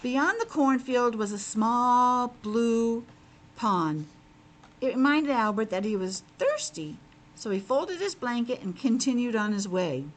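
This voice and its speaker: A woman's voice: a little high, lively intonation, unhurried. A woman teacher reading a picture book aloud to young children, expressively, with simple asides to her listeners.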